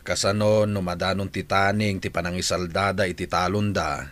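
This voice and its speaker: A man's voice: deep voice, little pitch movement, moderate pace, fairly bright tone. A man playing the concerned grown son of a farming family in an Ilocano radio drama. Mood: concerned, questioning.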